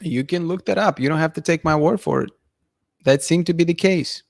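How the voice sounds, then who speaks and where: A man in his thirties hosting a night-time livestream, bluntly giving his opinions and reading chat comments aloud, his voice going hoarse. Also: fairly high pitch, brisk, no echo, clean studio sound. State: losing his voice, clearing throat. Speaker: livestream host. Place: dim room at night, indoors. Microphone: studio mic in front of him.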